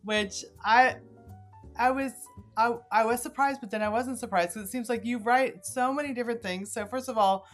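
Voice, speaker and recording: A woman's voice: fairly high pitch, normal speed, soft background music. A woman hosting an interview podcast, talking in a conversational way to listeners and a guest. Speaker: podcast host.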